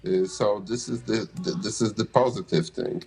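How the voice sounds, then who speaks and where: Husky Polish-accented man. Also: lowish voice, steady tone, moderate pace, darkish tone. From Poland. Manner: frequent restarts and "you know". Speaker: interviewee, anesthesia provider. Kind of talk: casual interview answers.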